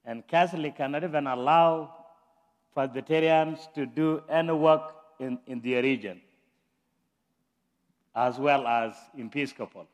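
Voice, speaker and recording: A man's voice: mid-range voice, a little slow, long pauses, in a large hall, muffled recording. A man from South Sudan, a guest pastor speaking earnestly to a church congregation. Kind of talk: church talk. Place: church sanctuary.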